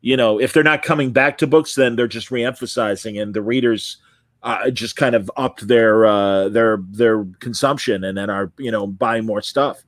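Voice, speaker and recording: Guy hosting a chat show, normal pitch, very dry audio, casual and super affirming. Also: normal speed, fairly bright tone, no echo. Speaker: interviewer and host.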